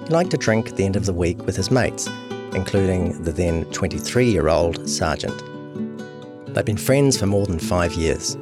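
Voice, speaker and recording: A man's voice: low voice, lively intonation, moderate pace. A man reading a scripted true crime long-read as narrator. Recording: music behind voice. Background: guitar music.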